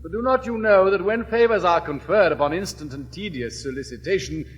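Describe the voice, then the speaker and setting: An English actor in his fifties playing an elegant, witty young gentleman suitor with measured, polished delivery. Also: high-pitched, natural voice. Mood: courtly. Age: 50s. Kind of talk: 1960s classic stage recording.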